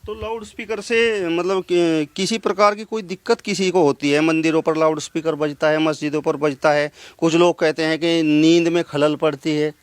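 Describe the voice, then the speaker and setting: A man's voice: a little high, fairly even intonation, normal speed, few pauses, clear, resonant tone, no echo. A man working as an interviewer, putting questions to a guest.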